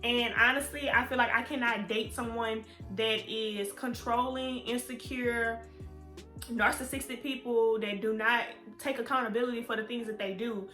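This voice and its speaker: Woman in her twenties, candid vlog chat, slightly high voice, faint music behind. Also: steady tone, moderate pace, sharp tone. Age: 20s. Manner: candid, chatty, talks straight to viewers. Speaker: vlogger. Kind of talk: casual storytime vlog. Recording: echoey room with faint music.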